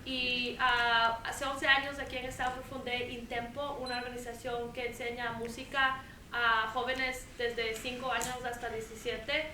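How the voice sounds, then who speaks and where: A woman's voice: a little high, level tone, normal speed, sharp tone. A woman from Ecuador introducing herself at an assembly in a hall, engaging the audience with questions. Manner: interactive, asks the audience questions. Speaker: violinist and music school founder. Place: school assembly stage. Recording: echoey hall, distant, clear noise.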